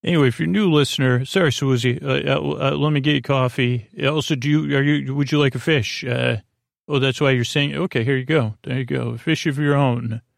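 Creaky-voiced man rambling gently. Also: mid-range voice, fairly even intonation, dry recording, clean studio sound. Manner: meandering, halting, circular asides; goofy, gently silly. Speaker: podcast host. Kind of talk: rambling, improvised bedtime podcast monologue.

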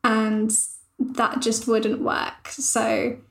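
A woman journalist on a podcast, calmly explaining a topic in a thoughtful, measured way. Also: fairly high pitch, gravelly. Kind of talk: casual podcast discussion. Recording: roomy echo.

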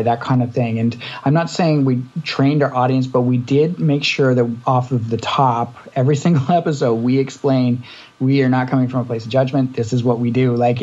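A man's voice: mid-range voice, warm tone, dull audio. A man from Canada, a true crime podcast co-host, chatting casually in an interview, talking in long rambling stretches with restarts. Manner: rambling, many restarts and fillers.

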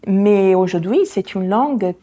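A woman's voice: medium pitch, a little slow, mellow. A woman in her forties from Italy, speaking French with an Italian accent, thoughtfully answering interview questions with many hesitations. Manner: reflective, many hesitations with euh. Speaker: guest, founder of a language association. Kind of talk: conversational interview.

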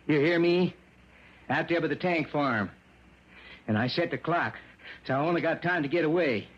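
Radio-play bomber villain: volatile, menacing, rough-spoken, slightly husky, dull vintage broadcast sound. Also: male voice, a little high, moderate pace. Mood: agitated, threatening. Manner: threatening, rough uneducated speech. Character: desperate small-town male bomber villain, menacing and volatile. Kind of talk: radio drama acting.

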